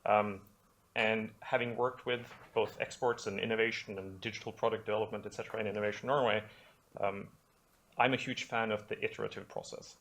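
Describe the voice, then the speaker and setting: A man's voice: lowish voice, fairly even intonation, brisk, fairly bright tone, a little hiss or hum. A man answering at a seminar panel about his program, rambling a little and correcting himself as he goes. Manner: rambling, self-correcting, laughs lightly.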